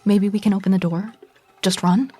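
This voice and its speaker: An actress playing a frightened young woman musician on the run, telling her story in a hushed, measured, tense way in an audio drama. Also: mid-range voice, fairly even intonation, slightly rough, close to an everyday voice. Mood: urgent, defensive. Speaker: narrator and lead character. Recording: music bed under voice.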